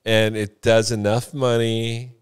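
Low-pitched man, assertive storyteller. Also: level tone, slow, clear, resonant tone. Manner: assertive, emphatic, repeats himself, swears casually. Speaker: former car salesman and floor manager, podcast talker. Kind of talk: casual podcast banter and sales stories.

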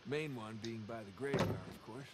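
An actor playing a wry treasure-hunting adventurer in a video game, conversational and natural. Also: male voice, medium pitch, moderate pace, a loud crowd, natural voice. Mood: matter-of-fact. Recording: loud game noise over the dialogue.

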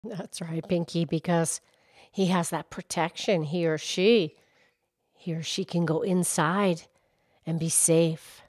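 A woman voicing a calm, knowledgeable grown-up cow puppet, speaking in a soft, measured, teacher-like way in a children's puppet show. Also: lowish voice, no echo, clean studio sound. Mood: gentle, measured.

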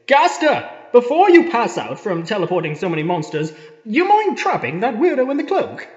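An inquisitive cartoon-dub asker played by a man, high and lively in pitch. The recording is dull and lo-fi, with long reverb. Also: moderate pace, exaggerated voice. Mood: insistent. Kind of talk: reading out audience questions in a comic dub.

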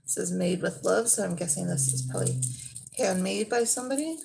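A woman's voice: fairly low pitch, very animated, creaky, noisy background. A woman in her thirties chatting casually through a thrift haul at home, describing each item as she handles it. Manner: unhurried, trailing off while handling items. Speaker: vlogger. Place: living room at home.

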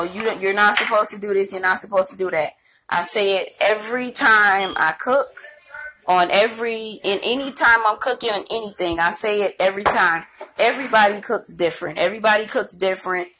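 Chatty middle-aged woman, home cooking vlog, phone-recorded in a kitchen, mid-pitch, light hiss. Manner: chatty, rambling, talks straight to viewers. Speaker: home cooking channel host. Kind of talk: casual cooking demo chat. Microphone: handheld phone camera.

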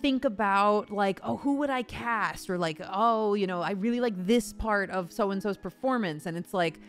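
A woman's voice: mid-range voice, moderate pace, faint music behind. A woman talking casually as a voice actor and host, acting out imagined quotes as she explains things. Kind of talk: casual conversational discussion.

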